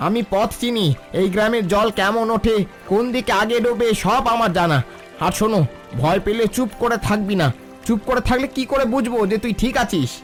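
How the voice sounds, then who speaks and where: A man voicing a steady, protective village father in a cartoon, giving practical, instructive guidance. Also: sits high, brisk, close to an everyday voice. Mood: urgent. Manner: instructive, reassuring. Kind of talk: Bengali cartoon dialogue. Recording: music behind the voice.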